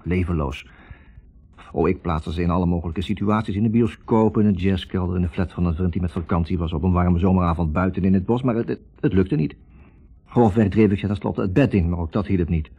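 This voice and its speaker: An actor playing a writer who recounts his own investigation in a measured, reflective way, in the style of 1960s radio drama narration. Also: deep voice, breathy edge, heavily acted. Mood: restless, frustrated. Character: troubled male writer recounting his taped investigation of a couple. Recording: muffled 1960s radio recording.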